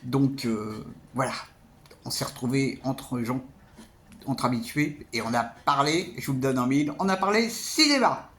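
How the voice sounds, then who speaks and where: A man's voice: medium pitch, animated, unhurried, a bit bright, a little hiss or hum. A man giving a casual spoken film review as a vlogger, hesitant and often repeating words.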